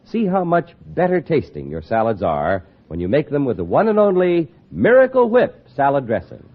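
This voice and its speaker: A man's voice: medium pitch, wildly swooping pitch, moderate pace. An American man working as a radio announcer, reading scripted copy in a 1950s broadcast style. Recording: tinny old radio broadcast.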